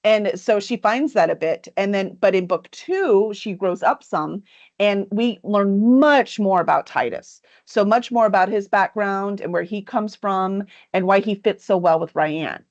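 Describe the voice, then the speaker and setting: A woman's voice: mid-range voice, unhurried, a little mellow, lo-fi sound. A woman hosting a livestream, chatting upbeat and casual about a book and reading its cover copy aloud. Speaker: livestream host.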